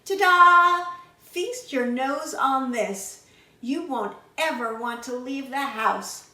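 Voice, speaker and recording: A woman's voice: sits high, takes it slowly, a bit bright, roomy sound. A woman voicing an eager, pleading little girl who is insistent and gushing, in a children's storybook. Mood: excited.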